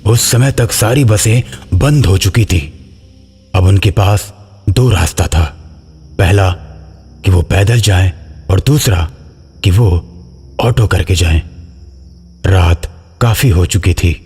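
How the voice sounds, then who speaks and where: A man's voice: low voice, slow, pauses often, darkish tone, slightly rough, soft background music. A man reading a scripted horror story as its narrator.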